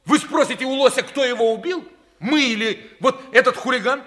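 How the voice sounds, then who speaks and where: A man's voice: very high voice, a little fast, sharp tone. A man playing an indignant, sarcastic and argumentative hunter in a 1960s Russian radio play.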